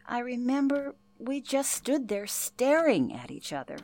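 An actress playing a former telephone operator who recalls past events in a reflective, earnest interview style. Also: female voice, sits high, big pitch swings, measured pace, a little mellow. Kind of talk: interview-style narration in radio play.

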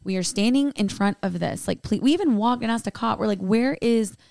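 A woman podcast co-host chatting casually and telling stories in an animated way, with quoted asides. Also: fairly high pitch, a little fast, vocal fry, dry recording, clean studio sound. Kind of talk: casual chat recap.

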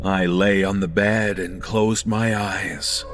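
Deep-ish guy narrating a horror story, slow and suspenseful, music playing behind him. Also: little pitch movement. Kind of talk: dramatic scripted first-person horror narration.

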